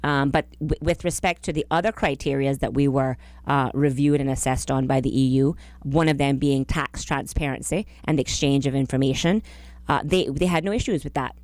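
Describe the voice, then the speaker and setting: A woman's voice: rumbling low, darkish tone, no echo. A woman, speaking formally and carefully as a political guest, close on a studio microphone. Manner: formal, careful, halting phrasing. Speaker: government minister, studio guest. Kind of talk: political radio interview. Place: small radio studio. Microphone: close broadcast microphone on boom arm.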